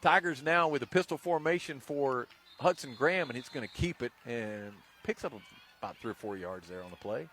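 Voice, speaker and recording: A man's voice: mid-range voice, expressive, brisk, fairly bright tone, a little hiss or hum. A man giving casual, joking play-by-play of a football game in a folksy, bantering way. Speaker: play-by-play sports announcer. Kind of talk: casual, joking radio play-by-play commentary of high school football. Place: outdoor football field sideline.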